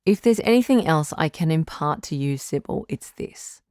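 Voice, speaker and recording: Low-voiced, reassuring woman radio doctor, played by an actress. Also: animated, darkish tone, dry recording, clean studio sound. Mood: reassuring, sincere. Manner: measured, reassuring, articulate. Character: calm, reassuring woman doctor hosting a supernatural advice show. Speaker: radio call-in advice show host. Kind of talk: scripted audio drama as radio call-in host.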